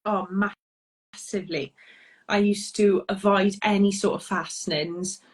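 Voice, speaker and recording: A woman's voice: mid-range voice, fairly even intonation, unhurried. Welsh woman guest, easygoing and chatty, lots of ums and laughter, heard over a video call. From Wales. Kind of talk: casual chat.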